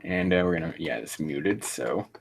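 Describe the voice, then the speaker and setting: A man's voice: very deep voice, expressive, normal speed, gravelly, a little hiss or hum. A man casually reading aloud and commenting on a live stream, rambling, swearing and sounding exasperated as he mocks the writing.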